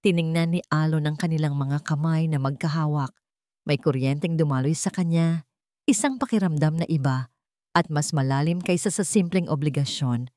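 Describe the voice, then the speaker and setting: Female narrator, Filipino accent, lower register, scripted drama storytelling, close dry sound. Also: normal speed, mellow, no room sound.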